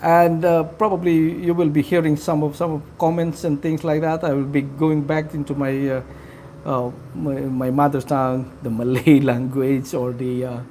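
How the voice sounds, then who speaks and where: A man's voice: a little high, level tone, a little mellow. Guy live streaming, hesitant, lots of uhs, faint noise behind. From Philippines. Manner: halting, full of fillers, friendly to viewers. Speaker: livestream host. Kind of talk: casual live-stream chat with viewers.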